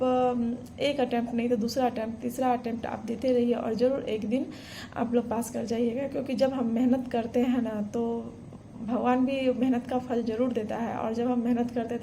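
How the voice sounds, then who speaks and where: A woman, talking earnestly and encouragingly to camera. Her voice is mellow and fairly high, yet it stays flat and even. A faint room hum sits behind her. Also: creaky. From Bihar, India. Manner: earnest, encouraging, many fillers and restarts. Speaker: vlogger. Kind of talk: casual personal story to camera.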